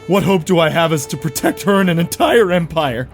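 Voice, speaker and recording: A high male voice performed by a man as an overwrought cartoon pony prince. He is suspicious, scared and defiant, acting it out dramatically over faint music. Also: expressive, brisk. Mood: despairing. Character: paranoid, frightened, defiant pony prince husband. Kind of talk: animated fan drama acting. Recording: music under the voice.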